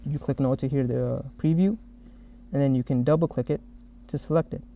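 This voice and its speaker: A man narrating a software demo as the developer who made it, explaining each step. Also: medium pitch, fairly even intonation, brisk. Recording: dull, muffled lo-fi audio.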